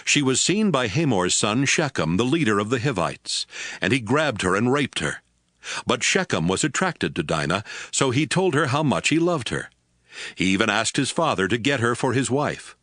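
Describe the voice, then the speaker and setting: A man's voice: medium pitch, sing-song, normal speed, a bit bright, no room sound, clean studio sound. A man giving scripted narration of scripture as the narrator of an audio Bible. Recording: music bed under the narration.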